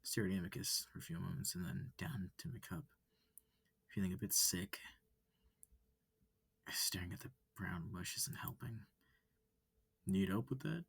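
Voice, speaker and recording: A man softly narrating a visual novel in a cozy, halting, often breathy reading. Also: sits low, dry recording, in a whisper. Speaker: reader.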